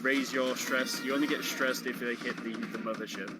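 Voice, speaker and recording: A man's voice: mid-range voice, steady tone, moderate pace. A man giving casual running commentary on a video game, explaining how it plays. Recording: game music under the voice. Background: loud game music.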